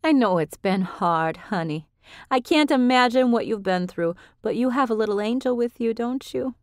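A high, gushing aunt who fusses over everyone, as a woman reads her. She drawls out her sweet words in a bright, lifted voice. Dry, close recording. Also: sing-song, measured pace, no room sound. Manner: gushing, doting. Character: affectionate, touchy-feely middle-aged aunt.

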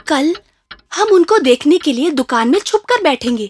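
Sweet sensible cobbler's wife in a kids cartoon, high voice, very lively intonation. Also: female voice, no echo. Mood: grateful, encouraging. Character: caring, grateful, sensible wife of a shoemaker. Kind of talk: children's cartoon dub.

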